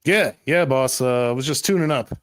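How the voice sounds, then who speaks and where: A man's voice: medium pitch, moderate pace, dry recording, studio quality, voice pushed up, close to an everyday voice. A man playing a laid-back, grumbling young musician servant in a roleplay game, talking casually in slang.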